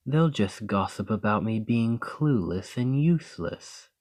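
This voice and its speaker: A proud snake-bodied noble, formal and wry, speaking slow and low, as a man plays him. His words come unhurried and carefully measured, each phrase weighed before it is spoken. The voice sits close to the mic, as in an ASMR roleplay. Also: lively intonation, a little mellow. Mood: discouraged. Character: proud male lamia noble, discouraged and stiff.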